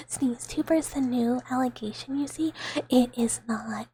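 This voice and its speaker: A young woman streaming ASMR, whispering softly to her chat with giggles and playful squeaky outbursts, close to the microphone. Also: slow pace, breathy edge, dry recording, rough recording. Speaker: VTuber streamer.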